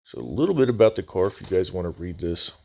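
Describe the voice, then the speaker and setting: A man's voice: sits low, animated, fast, vocal fry, muffled recording. A man narrating an unboxing voice-over of a scale model kit in a casual way with dry humor, his voice a little worn after nearly losing it. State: recovering from near voice loss.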